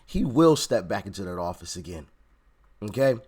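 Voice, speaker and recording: A man hosting a reaction video, his voice hoarse and fading. He talks casually and mocks what he's watching, with laughing asides. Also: fairly low pitch, big pitch swings, normal speed, a little mellow. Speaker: political commentary YouTuber. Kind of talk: reaction commentary.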